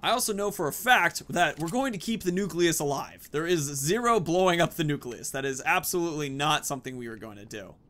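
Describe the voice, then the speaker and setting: A man's voice: a little high, expressive, brisk, hardly any pauses, fairly bright tone. A man giving casual, chatty let's play commentary and thinking aloud as he goes. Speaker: gaming host. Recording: faint game noise behind the voice.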